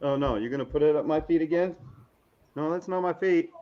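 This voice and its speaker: A man's voice: a little high, brisk. A man in easygoing, playful casual chat on a livestream, heard through dull lo-fi audio.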